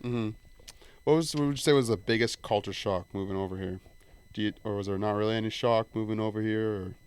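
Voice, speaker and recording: A man's voice: fairly low pitch, fairly even intonation, fast, a little mellow, smooth, dry recording. A young man co-hosting a podcast, asking interview questions and giving brief, agreeable replies.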